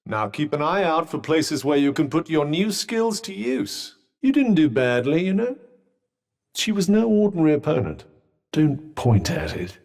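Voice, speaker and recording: An actor voicing a sardonic, erudite magical talking cuff in a video game, with a British accent, wry and articulate in a pompous way, with a husky voice. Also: male voice, on the high side, very animated, normal speed, a little mellow. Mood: brisk, instructive. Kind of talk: video game character dialogue.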